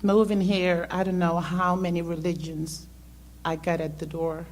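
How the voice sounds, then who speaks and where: A woman's voice: lowish voice, flat delivery, measured pace. A middle-aged woman from South America, raised in the Netherlands, giving a hesitant personal testimony with many ums. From born in South America, grew up in the Netherlands. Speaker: church member sharing testimony. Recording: faint background hum.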